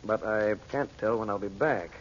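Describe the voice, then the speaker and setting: An actor playing a resolute, reassuring magician hero in an old radio drama, earnest and decisive. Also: male voice, fairly low pitch, moderate pace, close to an everyday voice. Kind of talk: 1940s radio drama acting. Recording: muffled old radio recording, faint noise.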